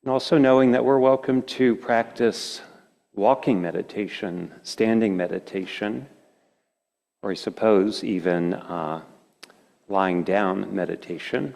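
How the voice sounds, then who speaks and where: A man leading a guided meditation as a teacher, speaking in gentle, slow phrases with long pauses. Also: fairly low pitch, fairly even intonation, vocal fry. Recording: reverberant hall.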